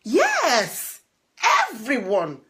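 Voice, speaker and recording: A woman's voice: a little high, huge pitch swings, extremely slow, a bit bright, a little hiss or hum, full character voice. A woman voicing a small, caring female sparrow in a storybook, earnest and exclaiming. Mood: relieved, caring.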